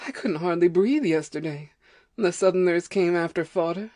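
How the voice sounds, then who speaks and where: Overworked farm wife played by a woman, lowish voice, fretful and complaining, rustic dialect, audio drama. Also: moderate pace, warm tone, close to an everyday voice. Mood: fearful, anxious. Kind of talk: amateur audio play acting.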